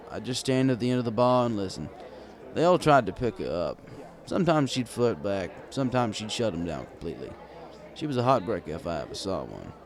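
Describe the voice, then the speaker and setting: A man reading a first-person story in a wry, conversational storytelling manner. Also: medium pitch. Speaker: fan fiction reader.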